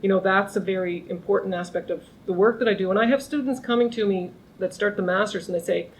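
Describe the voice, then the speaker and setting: A woman's voice: medium pitch, fast talker, poor audio. A middle-aged woman professor giving an informal academic talk to a small group, speaking conversationally with restarts and raising her voice to be heard. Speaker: criminology professor. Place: lunch seminar room.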